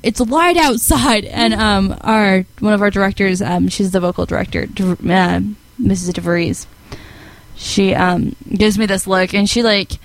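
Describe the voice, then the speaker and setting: A woman's voice: medium pitch, steady tone, measured pace, dry recording. A teenage girl co-hosting a student radio show, chatting with plenty of "like" and "um" into a studio microphone. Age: teens. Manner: chatty, lots of "like" and "um". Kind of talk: casual chat.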